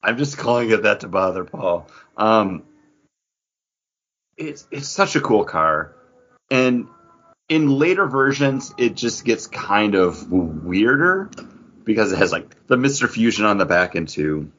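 A man co-hosts a casual pop-culture podcast with geeky enthusiasm. His husky voice swings up and down in a lively way. The audio is a little dull and lo-fi. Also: lowish voice, unhurried. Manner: enthusiastic geeky fan talk. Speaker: podcast co-host.

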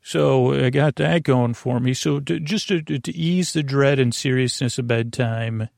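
A man with a creaky voice hosting a sleep podcast, speaking in a meandering, halting bedtime monologue full of soothing tangents and mild whimsy. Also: mid-range voice, a little mellow, dry recording, studio quality. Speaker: podcast host.

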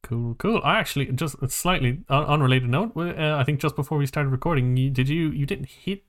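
A man co-hosting a podcast in casual conversation, talking in a rambling, halting way and often rephrasing mid-sentence. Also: on the high side. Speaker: podcast co-host.

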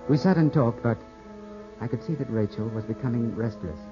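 A man playing a jealous, hot-headed young gentleman in a 1950s radio drama, formal, clipped and intense, sometimes narrating in the first person. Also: medium pitch, brisk, natural voice. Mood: somber narrating. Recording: dull 1950s radio broadcast, music behind.

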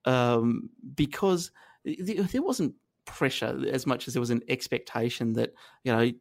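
An Australian man, maybe in his thirties, telling his story as an interview guest. He talks in a halting, self-deprecating way, stopping and restarting often. His pitch sits at an ordinary middle level for a man. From northern New South Wales, Australia. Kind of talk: reflective conversational interview.

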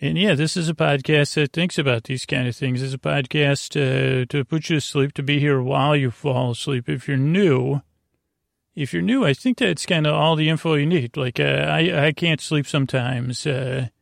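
Creaky-voiced man rambling a bedtime monologue. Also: fairly high pitch, dry recording, studio quality. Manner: halting, meandering, mumbly tangents. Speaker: podcast host. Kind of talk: rambling whimsical bedtime monologue to help listeners sleep.

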